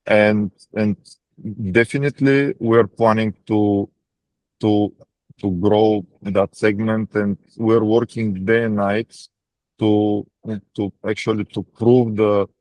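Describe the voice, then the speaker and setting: A man with a Bulgarian accent answering as a company executive on an earnings call, haltingly and often repeating words mid-sentence. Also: lowish voice, level tone, darkish tone, no echo, low-quality recording. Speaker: defense practice lead at a technology company.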